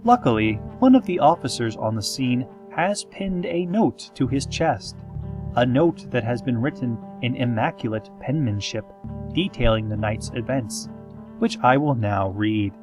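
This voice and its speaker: A man playing a calm, formal small-town radio host, narrating a parody horror-comedy show in measured, deadpan phrases with drawn-out dramatic moments. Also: medium pitch, close to an everyday voice. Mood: calm, ominous deadpan. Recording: music playing behind the voice. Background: soft guitar music.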